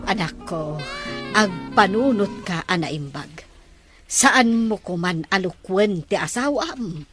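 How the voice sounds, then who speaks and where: A woman's voice: medium pitch, animated, slow, a bit bright, soft background music. A woman with an Ilocano accent acting a patient, pleading mother in a family drama. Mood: gently pleading. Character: patient, pleading mother advising her grown son.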